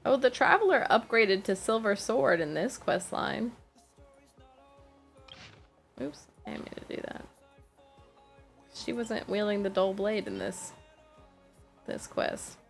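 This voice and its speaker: Animated, creaky-voiced woman streaming casually. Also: mid-range voice, faint music behind. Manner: thinks aloud, halting, questions herself. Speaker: game livestreamer. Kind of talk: casual rambling gameplay commentary.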